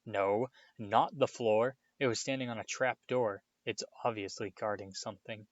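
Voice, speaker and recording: A man voicing a bossy, sharp-tongued young schoolgirl in a storybook, scolding and snappish. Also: on the low side, steady tone, moderate pace, dry recording, dull audio, lightly acted.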